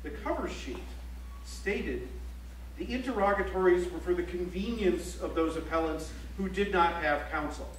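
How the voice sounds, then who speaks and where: A man playing a grave, pointed political chronicler, reciting formally on stage in a measured, emphatic way. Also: high voice, lightly acted. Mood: stern, formal. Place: library auditorium stage. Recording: echoey auditorium, distant mic, faint hum.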